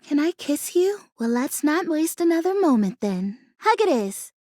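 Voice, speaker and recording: A woman voicing a sweet, plucky, excitable talking houseplant in a video game, with earnest, gushing delivery and quaint folksy exclamations. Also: high voice, normal speed, dry recording, studio quality. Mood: excited, overjoyed. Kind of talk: video game character acting.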